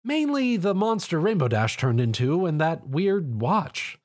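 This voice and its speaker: A man's voice: on the high side, very animated, a little slow, darkish tone, voice pushed up. A man voicing an earnest, brainy young female pony princess in a story. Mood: thoughtful.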